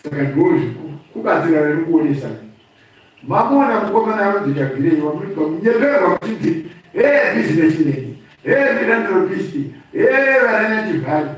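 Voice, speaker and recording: A man with a Zimbabwean accent giving an impromptu political address, speaking emphatically like a storyteller and repeating phrases for effect. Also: sits high. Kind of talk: impromptu political address, mixing two languages. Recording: very echoey room, distant microphone.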